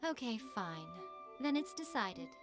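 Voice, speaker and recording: An actress voicing a sly, elegant fox-spirit shrine priestess in a video game, with a coy, unhurried, teasing manner. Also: female voice, a little high, sing-song. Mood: smug. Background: game music.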